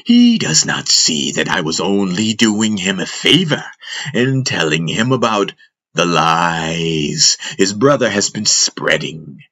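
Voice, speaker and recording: A man voicing a sly, taunting female snake with a slow, drawn-out, menacing sneer, in a fantasy audiobook. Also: deep voice, very animated, bright, forceful tone, exaggerated voice. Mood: mocking.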